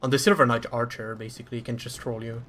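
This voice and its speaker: A man's voice: medium pitch, little pitch movement, fast, fairly bright tone, a little hiss or hum. A man with a French accent commentating live over his own speedrun in a chatty, self-deprecating way.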